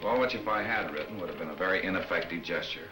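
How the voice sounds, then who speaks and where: An actor playing a suave, flippant young newspaper boss in a brisk, clipped 1940s serial style. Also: male voice, low-pitched, lightly acted, rough recording. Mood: flippant, dismissive. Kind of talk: 1940s film serial acting. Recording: old film soundtrack, faint music behind.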